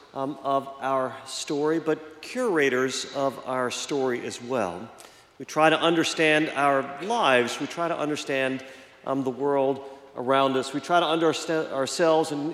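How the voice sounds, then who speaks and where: Slightly hoarse preacher in an echoey hall. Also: male voice, a little high. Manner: halting, many fillers and restarts. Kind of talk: reflective sermon with personal story. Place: church.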